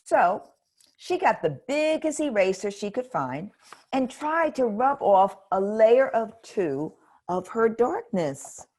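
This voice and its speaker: A woman reading a children's picture book aloud in a gentle, expressive way. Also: on the high side, slow. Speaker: storybook reader.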